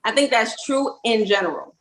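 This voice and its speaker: A woman's voice: fairly high pitch, normal speed, fairly bright tone. A woman debating casually, making her points in a firm, insistent way. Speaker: podcast panelist. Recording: roomy, echoing sound.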